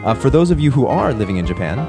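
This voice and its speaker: A man hosting a podcast, introducing a guest's videos in a relaxed way with frequent pauses. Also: mid-range voice, over music. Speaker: podcast host.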